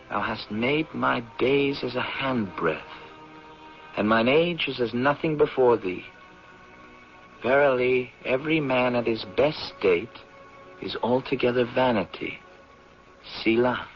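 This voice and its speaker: An American actor playing an eager, idealistic anthropology professor in a 1970s radio drama, with an earnest, persuasive delivery and a husky voice. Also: male voice, mid-range voice, faint music behind. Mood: solemn, reciting. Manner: earnest, persuasive, deliberate when reading aloud. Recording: dull, lo-fi vintage radio recording.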